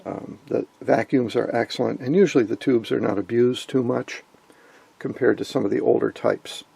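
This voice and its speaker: Guy with a creaky voice talking through his radio hobby, wry asides, super dry close sound. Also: medium pitch, fairly even intonation, moderate pace, dark tone, no echo. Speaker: host of a vintage radio hobby channel. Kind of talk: informal narration on vintage radio gear.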